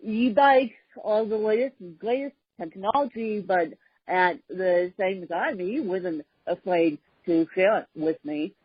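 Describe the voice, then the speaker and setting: Woman podcast host, husky, very slow, halting delivery with pauses, dry dull recording. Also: medium pitch, dry recording. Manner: halting, with frequent pauses and fillers. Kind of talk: casual conversational podcast chat.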